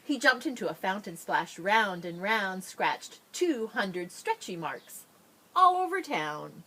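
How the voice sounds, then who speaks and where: A woman reading a children's picture book aloud expressively, with drawn-out dramatic phrasing and playful asides. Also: medium pitch, very animated, bright, forceful tone, rough recording. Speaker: storybook reader.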